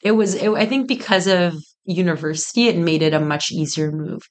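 A woman's voice: lowish voice, a little fast. A woman of about thirty from Egypt, chatting informally as an interview guest and telling stories casually with frequent asides. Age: around 30. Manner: informal, peppered with "like" and quoted asides. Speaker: interview guest, business founder. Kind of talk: casual conversational storytelling.

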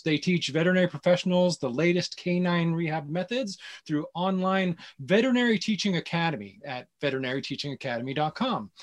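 A man opens the show with an upbeat, scripted introduction. His voice sits slightly high and he reads smoothly from his text. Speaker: show host.

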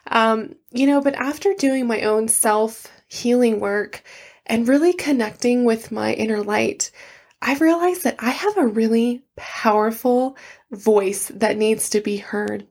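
A woman hosting her own podcast, talking in an earnest, heartfelt way, with a soft voice and many "you know"s. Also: a little high, a little slow. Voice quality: soft voice that is not loud. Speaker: podcast host. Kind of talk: casual solo podcast talk.

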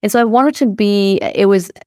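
A woman's voice: mid-range voice, moderate pace, mellow, dry recording, studio quality. A woman in her thirties with an Israeli accent, talking casually as a podcast guest with many fillers and restarts. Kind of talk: podcast interview.

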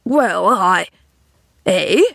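A woman voicing a grumpy, bitter man in an audiobook, speaking in a gruff, testy and sarcastic way. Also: medium pitch, expressive, a little slow, no room sound, clean studio sound. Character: grumpy, sardonic, bedridden man with a bitter temper. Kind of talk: audiobook character dialogue.

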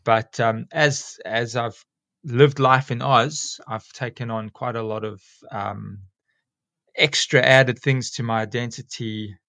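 A man in his forties with a South African accent, a school teacher talking casually as a podcast guest and often pausing with ums and restarts. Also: mid-range voice, fairly even intonation, fairly bright tone, dry recording. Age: 40s. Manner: rambling, many ums and restarts.

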